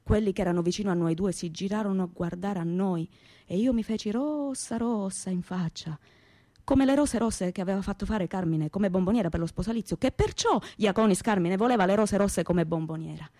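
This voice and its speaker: A woman's voice: mid-range voice, a little fast, dark tone, no room sound, clean studio sound, natural voice. An actress playing a fiery young working-class woman with a Calabrian southern Italian accent, telling her story colloquially and emphatically in a radio theatre monologue. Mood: animated recollection.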